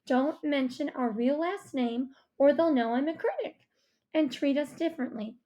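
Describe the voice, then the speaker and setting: A woman's voice: high voice, expressive, moderate pace, warm tone, pitched above the performer's own voice. A woman narrator voicing a proud, cautioning mother who explains things carefully in an audiobook. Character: proud, cautioning mom, a food critic. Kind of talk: audiobook character voice.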